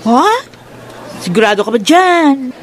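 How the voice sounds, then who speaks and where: Playful young student, higher pitch, noise behind. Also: female voice, expressive, a little slow. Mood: flustered. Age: young adult. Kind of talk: radio drama acting. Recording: noisy radio drama recording.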